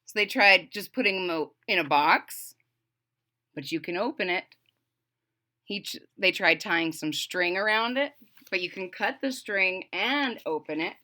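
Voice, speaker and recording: A woman's voice: medium pitch, expressive, a little slow, sharp tone. A woman reading a children's story aloud, expressively, with simple explanatory asides for young listeners.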